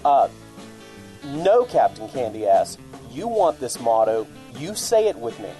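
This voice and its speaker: A man's voice: mid-range voice, big pitch swings, unhurried, dark tone, soft background music, poor audio. A man playing a blunt, boastful, crude county commissioner in a comic radio commercial. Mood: insulting. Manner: blunt, insulting.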